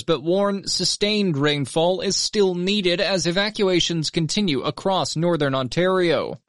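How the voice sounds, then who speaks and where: Man, newsreader, slightly high voice, dry studio sound, brisk even delivery. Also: no echo. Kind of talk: radio news bulletin.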